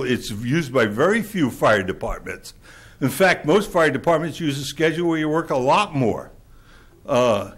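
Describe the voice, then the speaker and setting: A man with a loud voice giving a blunt, exasperated public comment at a council chamber podium, lecturing the council. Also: on the high side.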